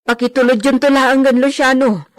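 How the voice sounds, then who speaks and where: A woman acts a village servant in a radio play. She has a fairly high voice and sounds anxious and respectful. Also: fairly even intonation, moderate pace, lightly acted. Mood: anxious, pleading. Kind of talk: Ilocano radio drama acting.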